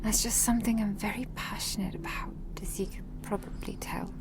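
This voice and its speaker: A woman voicing an affectionate, protective ancient vampire woman in a soft, intimate ASMR roleplay, with genteel old-fashioned phrasing. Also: mid-range voice, level tone, fairly quick, natural voice. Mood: tender, apologetic.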